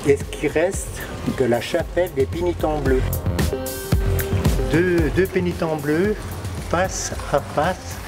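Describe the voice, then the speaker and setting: A man's voice: a little high, slow. A local man informally explaining things, hesitating and repeating himself. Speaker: local resident. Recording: music playing clearly behind.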